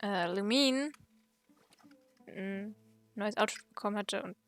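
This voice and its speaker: A woman's voice: medium pitch, unhurried. A woman giving casual let's play commentary with game music behind her. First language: German. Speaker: let's player.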